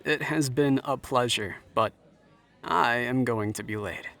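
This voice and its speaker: Man playing a bright-voiced, self-assured tech executive. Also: mid-range voice, unhurried. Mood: self-assured, flattering. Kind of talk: audio drama acting.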